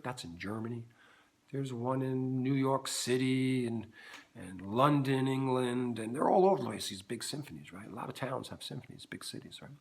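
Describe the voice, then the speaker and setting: A middle-aged man with a low bass voice, leading a playful music lesson for children and checking in often with the listener. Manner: animated, silly, keeps checking in with "right?".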